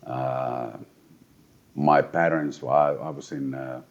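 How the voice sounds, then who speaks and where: A man's voice: extremely low pitch, steady tone, slow pace, highs cut off. A Finnish man in his forties from eastern Finland, a tired interview guest who talks bluntly, swears, and restarts his sentences often. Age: 40s. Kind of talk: casual interview talk.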